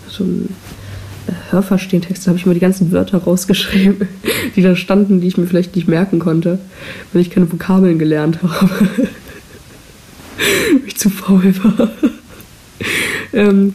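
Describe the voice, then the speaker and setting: A woman's voice: fairly low pitch, warm tone. A teenage girl hosting her own podcast, chatting casually in a rambling, self-deprecating way, recorded on a cheap microphone with audible hiss. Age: teens. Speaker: podcast host. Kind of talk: casual solo chat.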